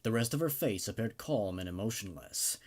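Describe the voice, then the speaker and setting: A man hosting and narrating horror stories in a theatrical, expressive way, with casual asides. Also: lowish voice, moderate pace. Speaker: host and narrator of a horror story reading show.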